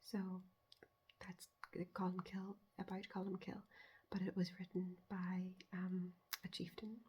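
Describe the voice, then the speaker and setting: Female ASMR host, hushed and measured, extremely breathy whisper with little pitch movement, explaining folklore. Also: monotone.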